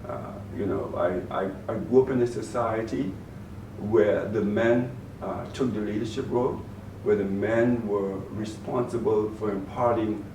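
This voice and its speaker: A man with a Bahamian accent, a guest in an interview, answering in a measured way and often repeating words as he gathers his thoughts. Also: on the low side, steady tone, warm tone. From Turks and Caicos Islands, raised in the Bahamas. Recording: roomy, distant mic with faint noise.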